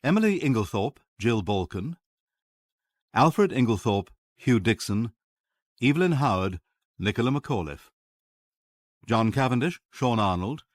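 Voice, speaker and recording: A man's voice: medium pitch, very animated, unhurried, pauses often, dry recording. A man reading formally as a radio drama announcer. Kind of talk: formal broadcast credits reading.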